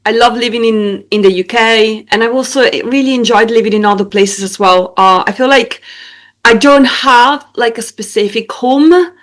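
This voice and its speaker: A woman's voice: a little high, moderate pace. A young woman with an Italian accent, a singer-songwriter chatting casually in an interview, with lots of fillers and hesitations. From Italy. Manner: laughs easily, many fillers and hesitations.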